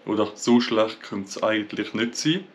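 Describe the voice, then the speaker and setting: A man's voice: fairly low pitch, moderate pace, a little hiss or hum. A man in his twenties from Switzerland with a Swiss German accent, chatting casually to his camera in a small home office, rambling with many fillers and restarts. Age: 20s. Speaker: vlogger. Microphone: camera at arm's length.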